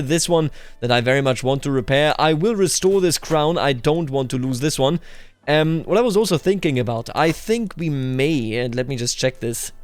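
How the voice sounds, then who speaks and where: A man hosting a roleplay game video, commenting in a measured, deliberate way with emphatic repetition, his voice slightly tender. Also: medium pitch, no room sound. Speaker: gaming video host.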